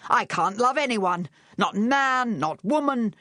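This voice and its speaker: Woman putting on an unhinged, bitter man, pitched up. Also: lively intonation, takes it slowly, bright, forceful tone, dry recording, studio quality, full character voice. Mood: anguished, bitter. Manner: snarling, furious breathy rush. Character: deranged, bitter, frail man bent on revenge.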